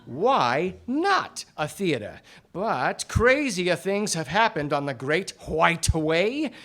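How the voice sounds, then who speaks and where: Theatrical 1940s gumshoe, lively pitch. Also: male voice, slow pace, heavily acted. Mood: musing, sly. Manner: theatrical, slangy, accusatory monologues. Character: 1940s gumshoe detective, man, theatrical, confident accuser. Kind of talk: 1940s radio play acting. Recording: live radio play before studio audience.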